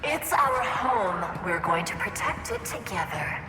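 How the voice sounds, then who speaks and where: A woman's voice: mid-range voice, expressive, measured pace, lightly acted. A woman playing an obsessive, seductive female robot in a video game, cooing pet names with a menacing clinginess. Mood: teasing, possessive. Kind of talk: video game character acting. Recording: echoey reverberant hall, music behind.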